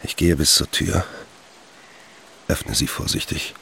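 An actor playing a weary, limping fugitive, narrating a literary German radio drama in a brooding manner. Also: male voice, a bass voice, slow pace, creaky, pushed and bright, slight background noise, heavily acted. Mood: brooding, wary. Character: weary limping man fleeing alone through a forest.

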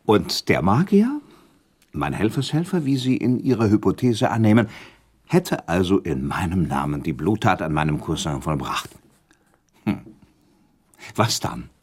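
Coolly ironic accused prince, period radio drama: husky, lively range, deliberate formal delivery. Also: male voice, sits low, exaggerated voice. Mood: sceptical, challenging. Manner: formal, deliberate, sardonic, questioning. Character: proud male prince accused of murder, guarded, coolly ironic. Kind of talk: German radio play acting, period dialogue.

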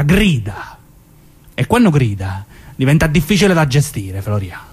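An actor playing a menacing, evasive southern Italian mafioso in a theatrical dramatic reading, with a southern accent. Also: male voice, medium pitch, a little mellow, close to an everyday voice.

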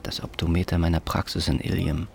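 An actor playing a dazed, resigned middle-aged optometrist and war veteran, musing tersely in a radio drama monologue. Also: male voice, deep voice, little pitch movement, brisk, gravelly, slight background noise. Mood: musing, detached. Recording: music behind the voice. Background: music.

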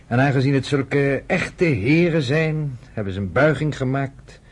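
Wry male friend in a radio drama: husky, teasing, sardonic and fatherly, middle pitch. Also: a little slow. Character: wry, teasing American man, friend of a nurse. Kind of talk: Dutch radio play acting.

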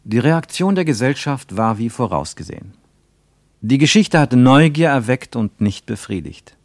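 A man reading scripted literary narration for radio in a measured, solemn manner. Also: medium pitch, very animated, dry recording.

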